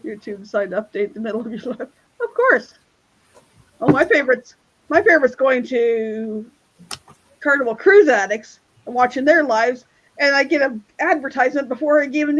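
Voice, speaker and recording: Chatty woman with a fairly high voice, rambling through stories; muffled, lo-fi video-call sound. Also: expressive, a little fast. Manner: rambling storyteller, laughs often. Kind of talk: casual group chat.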